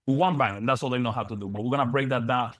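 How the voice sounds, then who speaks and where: A young man from the Dominican Republic giving an energetic live business training lecture, repeating words and using many fillers. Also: medium pitch, fast talker, dry recording, clean studio sound. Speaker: business coach.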